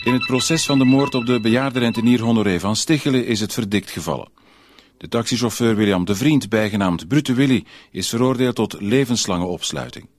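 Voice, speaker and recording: An actor playing a formal, matter-of-fact news reader, giving a measured scripted report within a radio drama. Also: male voice, mid-range voice, soft background music, close to an everyday voice.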